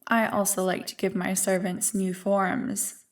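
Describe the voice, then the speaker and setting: A woman voicing an ancient, evil primordial being in an ASMR roleplay, smug and tempting, with a deliberate, taunting delivery. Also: mid-range voice, level tone, far from the mic, natural voice. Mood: sly, commanding. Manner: slow, deliberate, taunting, coolly amused.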